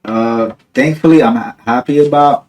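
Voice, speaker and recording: A man's voice: medium pitch, normal speed, a little mellow. A young man from New Jersey hosting a podcast, talking in a rambling, laid-back way with lots of "like" and "dude". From New Jersey, USA. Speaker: podcast host. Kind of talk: casual chat.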